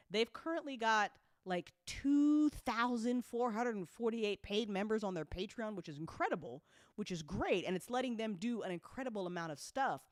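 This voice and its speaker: A woman's voice: mid-range voice, no room sound, clean studio sound. A woman talking casually and off the cuff as an audio drama producer updating fans, candid, colloquial and full of swearing, emphatic when she makes a point.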